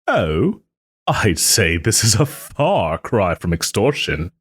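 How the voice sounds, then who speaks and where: Male actor as a smug soft-hearted nobleman, refined, teasing, unhurried, expressive pitch swings, close dry sound, audio roleplay. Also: husky, no echo, exaggerated voice. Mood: measured, reasoning. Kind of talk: audio roleplay, dramatic monologue to listener.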